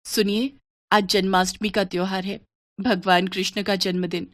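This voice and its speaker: A woman's voice: medium pitch, flat delivery, normal speed, dry recording, studio quality, natural voice. A woman voicing a kind, humble village mother in a moral story. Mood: kind, pleading.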